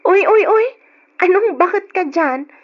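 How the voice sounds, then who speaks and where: A woman's voice: extremely high pitch, lively intonation, a little slow, lo-fi sound. An actress playing a young female student, coy and playful, in an old radio drama. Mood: teasing affection.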